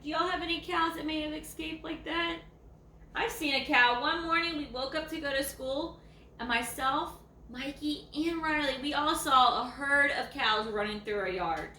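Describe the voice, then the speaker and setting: A woman with a Southern US accent reads a picture book to young children. Her voice is high and bright, and she explains things with questions in a child-directed way. The room is echoey, with faint background noise. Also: normal speed, recorded from a distance. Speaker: teacher reading to young children. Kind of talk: children's story read-aloud.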